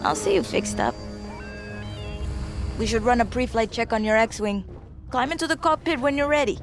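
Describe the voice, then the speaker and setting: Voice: mid-range voice, expressive, moderate pace, close to an everyday voice. An actor playing a friendly, eager, chatty starfighter mechanic in a video game, talking informally over music. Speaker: hangar mechanic. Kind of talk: cinematic video game dialogue.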